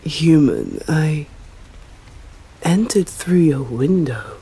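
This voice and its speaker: Woman playing a slow, whispery English vampire woman. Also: deep voice, lively intonation, warm tone, exaggerated voice. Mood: composed, intrigued. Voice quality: breathy, often dropping to a whisper. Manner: slow, deliberate, formal, menacing. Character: stern, commanding female vampire, seductive and courteous. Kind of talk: ASMR roleplay acting.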